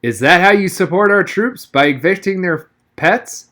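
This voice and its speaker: A man's voice: high voice, lively intonation, moderate pace, sharp tone, pitched above the performer's own voice. A man voicing an amused, unperturbed father in an audiobook, teasing and proud of his son.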